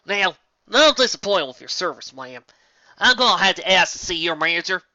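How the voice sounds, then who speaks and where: A reader voicing Jackie, a scrappy, defiant mare earth pony who shouts and chants taunts at her foes, in a storybook reading. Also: medium pitch, sing-song, normal speed, no echo, dull audio, voice pushed up. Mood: mocking. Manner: loud, taunting, shouting and sing-song chanting.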